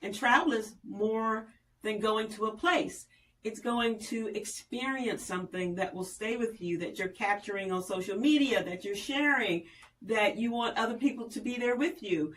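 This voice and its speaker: A woman's voice: on the high side, normal speed, a bit bright, far from the mic, slight background noise, rough recording. A woman from Virginia, a tourism official, speaking in a polished, promotional way as a guest on a radio interview.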